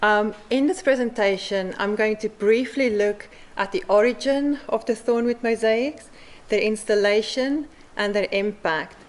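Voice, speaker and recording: A woman with a South African accent presents her mosaic work at a forum. She speaks slowly and deliberately, with a mid-range voice, pausing for an "um" now and then. She sounds middle-aged. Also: mid-range voice. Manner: slow and measured, with frequent "um" pauses. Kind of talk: conference presentation.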